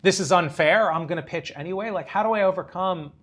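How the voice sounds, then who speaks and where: Brief: middle-aged man, host, quick-paced, eager questioner, lapel mic on a call. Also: sits high. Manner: quick, lots of backchannel "right" and "mm-hmm", animated questions. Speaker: interview host. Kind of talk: conversational interview. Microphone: lapel mic on video call.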